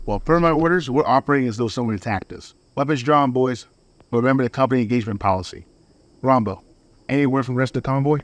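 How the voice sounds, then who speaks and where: A man playing a brisk, commanding mercenary squad leader in a sci-fi audio drama, giving clipped orders. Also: mid-range voice, natural voice.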